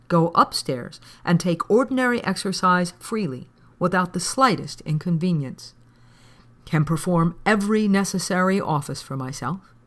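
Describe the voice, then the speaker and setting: A woman with a slightly low voice reads an old-fashioned Victorian text aloud. She delivers it in audiobook style, phrasing each clause in a measured, deliberate way. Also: fairly bright tone. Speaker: podcast host reading an old book aloud.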